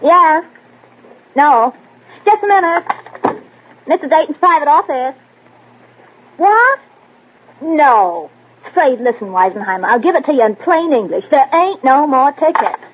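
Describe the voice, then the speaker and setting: An actress playing a brash, slangy, wisecracking office secretary in 1940s radio style. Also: female voice, high-pitched, big pitch swings, a little slow, long pauses. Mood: brassy, impatient. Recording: muffled, slightly noisy old radio.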